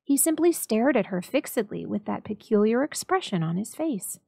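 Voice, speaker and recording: A woman narrating a story dramatically, with expressive, measured storytelling. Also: a little high, creaky, no room sound. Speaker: library staff story reader.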